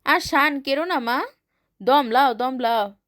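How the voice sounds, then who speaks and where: A woman voicing a rugged, weathered male river ferryman who speaks in rustic village talk, calm and commanding. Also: very high voice, slow pace, no echo, clean studio sound, full character voice. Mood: coaxing. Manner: rustic village speech, calling out orders.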